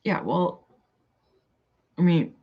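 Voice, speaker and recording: A Canadian woman with a lowish voice chats loosely with her viewers, thinking aloud and drifting from point to point. Her talk is casual and rambling. The audio is dull and lo-fi. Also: very slow, breathy edge. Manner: rambling, chatty, thinks aloud and answers chat. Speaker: livestreamer chatting with viewers. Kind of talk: casual live chat.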